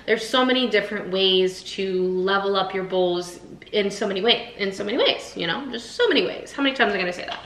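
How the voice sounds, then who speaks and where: A woman's voice: mid-range voice, brisk, a bit bright, slight background noise. Young woman, cooking show host, friendly and chatty, mid-range voice, recorded on a room mic in a kitchen with a light echo. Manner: chatty, enthusiastic, lots of "like" and "so many". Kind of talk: casual cooking tutorial. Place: home kitchen, indoors.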